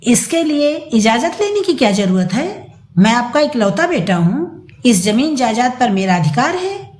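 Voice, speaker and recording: A woman's voice: on the high side, very animated, unhurried, a little mellow. A woman voicing a shameless, entitled grown son with a brazen, irritated manner in an audio story. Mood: sarcastic. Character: shameless, entitled adult son demanding his inheritance. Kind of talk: dramatised audio story reading. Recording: roomy echo.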